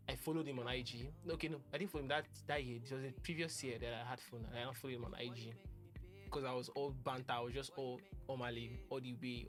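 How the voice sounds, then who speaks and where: A man's voice: mid-range voice, a bit bright. Earnest young man, music behind. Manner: rambling, earnest, restarts a lot. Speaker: music producer. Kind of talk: casual talk to camera.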